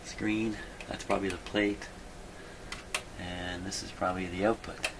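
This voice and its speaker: A man thinking aloud in an unscripted workbench video, halting and puzzled, muttering to himself in a self-deprecating way. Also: sits low, little pitch movement. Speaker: host of a radio repair video. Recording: clearly noisy hobby video recording.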